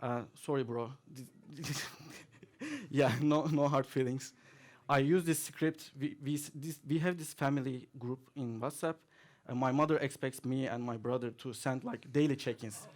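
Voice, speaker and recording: A man talks through a tech demo at a conference, at an everyday middle pitch. He is relaxed and chatty, leaning on fillers and chuckling now and then as he goes. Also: normal speed. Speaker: conference speaker. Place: conference hall, audience present.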